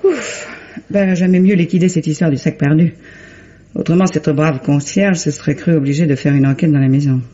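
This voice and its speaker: An actress playing a resourceful, smooth-tongued lady sleuth in a 1950s French radio mystery, articulate and polite. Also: deep voice, steady tone, dark tone, close to an everyday voice. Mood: brisk, scheming. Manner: polite, quick-witted, articulate. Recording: dull old radio recording.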